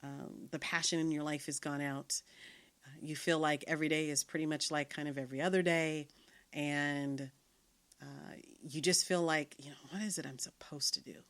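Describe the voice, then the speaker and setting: A woman's voice: low-pitched, fairly even intonation, moderate pace, a bit bright. A middle-aged woman hosting a podcast, talking earnestly in a reflective monologue with many fillers and restarts. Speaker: podcast host.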